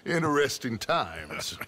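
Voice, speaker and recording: An actor playing a calm, courteous middle-aged outlaw leader in a video game, speaking in a measured, smooth way. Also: male voice, medium pitch, full character voice.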